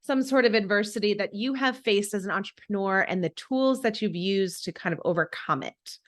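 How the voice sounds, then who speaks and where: A woman hosting a podcast interview, enthusiastic and quick to affirm her guest. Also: mid-range voice. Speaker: podcast host.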